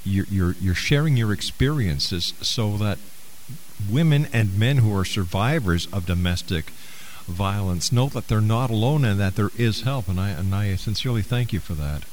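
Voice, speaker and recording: Low-voiced male radio host. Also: lively intonation, normal speed. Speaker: radio talk show host. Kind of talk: radio interview and announcing. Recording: hissy, noisy broadcast audio.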